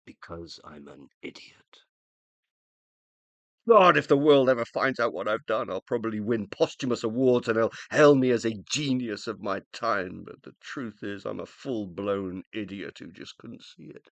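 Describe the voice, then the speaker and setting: A man's voice: on the low side, expressive, normal speed, creaky, no echo. Guy playing a dead scientist uploaded to the cloud, loving husband full of regret, radio play, emotional swings up and down, very dry sound. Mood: self-reproachful. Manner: emotional, hesitant, confessional.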